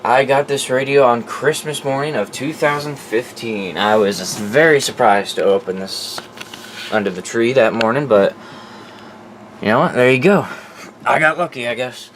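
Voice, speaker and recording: A man's voice: medium pitch, normal speed, a bit bright, slight background noise. A man presenting his collection in a casual, off-the-cuff, informal way, sometimes profane. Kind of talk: casual off-the-cuff show-and-tell.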